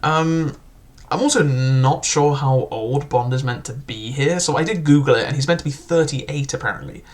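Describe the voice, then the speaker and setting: A man's voice: mid-range voice, normal speed, hardly any pauses. A young man casually reviewing a book, chatty and loose, with frequent filler words and restarts.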